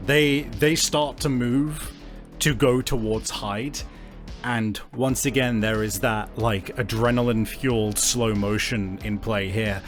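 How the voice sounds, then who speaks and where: A man narrating and ruling as game master in a tabletop role-playing game, with calm, measured rulings. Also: mid-range voice, soft background music.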